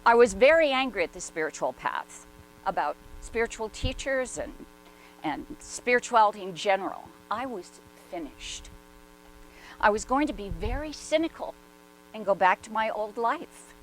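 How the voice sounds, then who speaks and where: Woman acting out hard-boiled skeptical female journalist, medium pitch, blunt and candid, conversational stage monologue, background noise. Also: expressive, normal speed, close to an everyday voice, rough recording. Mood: cynical, stubborn. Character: skeptical, hard-boiled older woman, former war correspondent, bitter and candid. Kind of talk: dramatic reading in conversational first-person monologue.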